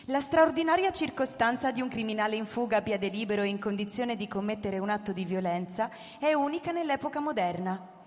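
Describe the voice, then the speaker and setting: An actress playing a stern, official radio announcer reading formal warnings in a radio drama. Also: female voice, a little high, a little fast, lightly acted. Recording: reverberant hall.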